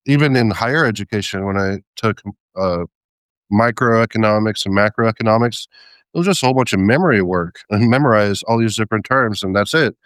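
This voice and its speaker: Hesitant man with a smooth, low voice. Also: a bit bright, no echo. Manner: hesitant, many ums and restarts, light laughs. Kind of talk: casual conversation.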